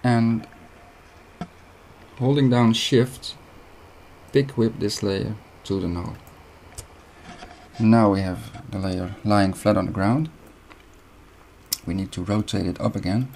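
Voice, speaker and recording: Young Dutch-accented man, low gravelly voice, calm screen-recorded tutorial over faint hiss. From Netherlands. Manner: steady step-by-step instruction with short pauses. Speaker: video editing tutor.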